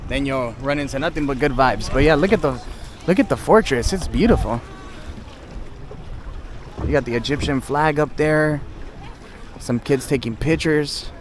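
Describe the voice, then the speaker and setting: A man's voice: mid-range voice, moderate pace, pauses often. A man in his thirties chatting to the camera as a travel vlogger, filming himself at arm's length on a small boat outdoors. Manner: chatty, talks straight to camera, opinionated asides. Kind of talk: casual travel vlog. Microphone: action camera held at arm's length. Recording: outdoor wind and boat noise. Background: wind and water noise.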